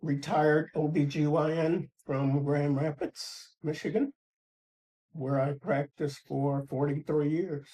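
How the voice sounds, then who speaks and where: A man in his seventies, a retired doctor, recounting his experience in a documentary interview, slow and halting, with many fillers. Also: fairly high pitch, fairly even intonation, dark tone, low-quality recording. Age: 70s.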